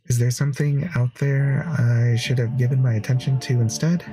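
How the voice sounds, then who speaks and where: An earnest, dreamy wandering hero read by a man muses introspectively in a visual novel. The voice sits at medium pitch, with music behind it. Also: steady tone, normal speed, lightly acted. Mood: pensive. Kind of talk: visual novel narration and dialogue.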